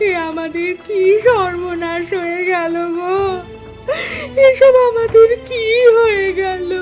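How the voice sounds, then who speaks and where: A woman playing a despairing poor village wife in a Bengali cartoon, crying and lamenting in drawn-out phrases. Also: extremely high pitch, steady tone, a little mellow, faint music behind. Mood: wailing, despairing. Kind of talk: melodramatic Bengali cartoon dub.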